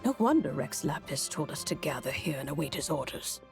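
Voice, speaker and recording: A woman's voice: deep voice, animated, fairly quick, creaky, faint music behind. A woman playing a kindly, wise elder immortal in a fantasy game, speaking in a gracious, thoughtful manner. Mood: pensive.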